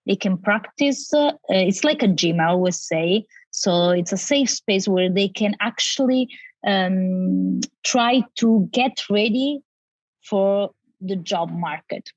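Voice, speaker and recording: A woman's voice: medium pitch, slow pace, no room sound, dull audio. A woman from Italy with an Italian accent, a native Italian speaker explaining her work conversationally as a panel guest. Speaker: panel guest, education company representative.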